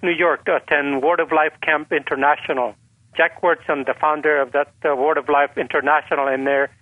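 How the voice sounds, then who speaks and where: An older man from the northern Philippines tells his story over a thin, tinny phone line. His voice sits a little high and sounds dry and close. He talks in an earnest way and often repeats words to stress them. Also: no echo. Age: 70s. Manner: earnest storyteller with a light laugh, repeats for emphasis. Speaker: guest. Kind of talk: conversational testimony.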